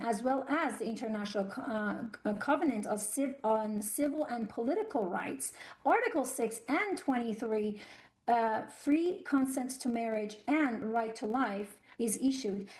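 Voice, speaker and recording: A woman from Iran speaks as a guest on a news interview, earnest and halting, stopping often to restart her phrasing. Her voice sits a little on the higher side. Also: a little mellow. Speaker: guest commentator on human rights.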